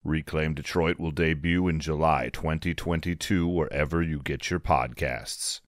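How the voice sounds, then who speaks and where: Very deep male announcer. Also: steady tone, normal speed, no echo, clean studio sound. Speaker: trailer announcer. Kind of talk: scripted promotional announcement.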